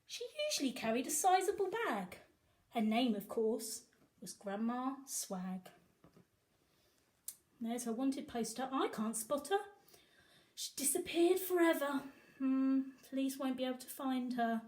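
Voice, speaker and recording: A woman reads a picture book to children in a fairly high voice. Her delivery swings widely in pitch, animated and emphatic, with a sing-song lilt on the rhymes. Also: unhurried, long pauses. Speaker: storyteller reading to children. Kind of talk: expressive picture book read-aloud.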